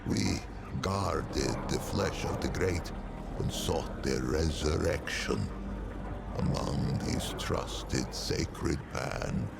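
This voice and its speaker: A man voicing an ancient, weary, non-human priest of the dead in a video game, with a gravelly voice and a slow, formal, archaic, musing manner. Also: very noisy, full character voice. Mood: rueful reminiscence. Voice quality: deep gravelly creaking voice. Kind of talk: theatrical video game character dialogue. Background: music.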